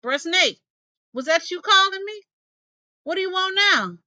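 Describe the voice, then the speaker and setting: A woman voicing a gentle, wary old male possum in an animated folktale, with folksy dialect phrasing. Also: extremely high pitch, unhurried, no echo, voice pushed up, exaggerated voice. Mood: wary questioning. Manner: folksy Southern dialect grammar, indignant reasoning.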